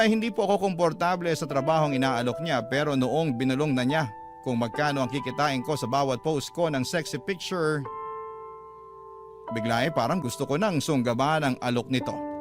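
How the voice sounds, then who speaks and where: A man with a Filipino accent reading a first-person story as a radio drama narrator, measured and lingering on phrase endings. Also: mid-range voice. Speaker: narrator of a letter sender's story.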